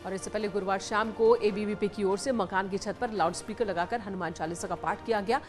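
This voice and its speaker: A woman presenting television news as the studio anchor, formal and crisp. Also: medium pitch, steady tone, fairly quick, soft background music.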